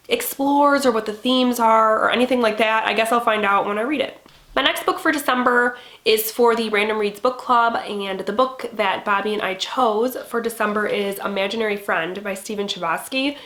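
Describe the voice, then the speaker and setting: A woman's voice: fairly high pitch, a bit bright, reverberant. A young woman sounding nasal from a cold, chatting casually and rambling with fillers as a book channel host. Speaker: BookTube host. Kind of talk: casual reading-plans chat.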